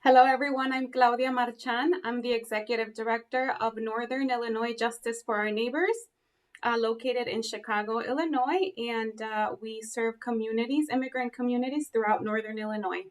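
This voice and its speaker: A woman introduces herself in the role of a nonprofit director. Her voice sits a little higher than average. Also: fairly even intonation, fairly quick. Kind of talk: self-introduction.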